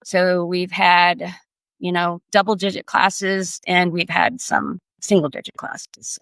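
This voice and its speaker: A woman's voice: lowish voice, level tone, takes it slowly, vocal fry, a bit bright, no room sound. A middle-aged woman speaking as an instructor, explaining things casually in an interview. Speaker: air traffic control instructor.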